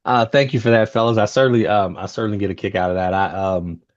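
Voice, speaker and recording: A man moderating a roundtable discussion over a video call, talking in a relaxed, chatty way with many fillers and restarts. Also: fairly low pitch, quick pace, dry recording, muffled recording. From United States. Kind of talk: panel discussion.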